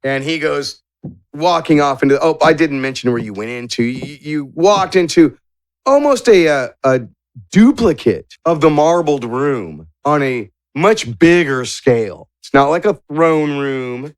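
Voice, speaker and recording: Slow, dry-sounding male game master. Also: a little high, expressive, dry recording. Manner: searching for words, drawn-out descriptive phrasing. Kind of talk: improvised tabletop game narration.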